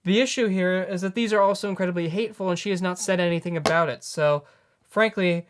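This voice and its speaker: A man in his late teens hosting a news commentary, earnest and halting as he corrects himself. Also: sits high, noisy background. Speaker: news host.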